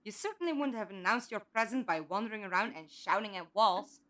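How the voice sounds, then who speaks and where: A woman playing a calm, trusting captive in an audio drama, speaking politely and reasonably. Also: mid-range voice, fairly quick, natural voice. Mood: candid, calm. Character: calm, curious, trusting female captive with lost memory. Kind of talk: fan audio drama acting.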